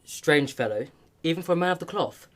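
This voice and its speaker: An actor playing a probing police superintendent in a radio play, clipped and businesslike. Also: male voice, a little high, expressive, a little fast, a bit bright. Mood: brisk, awkward. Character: brisk, probing police superintendent, old friend.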